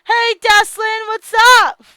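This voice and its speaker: A young woman with a high voice reads game narration aloud and slips into chatty asides to her audience. She is losing her voice after a long stream, and the sound is close and dry. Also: flat delivery, takes it slowly, bright, forceful tone, dry recording. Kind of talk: reading a visual novel aloud with live commentary.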